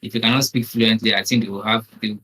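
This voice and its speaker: Bright, husky young man. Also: on the low side, steady tone, fast talker, low-quality recording. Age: young adult. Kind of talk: casual discussion. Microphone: video call.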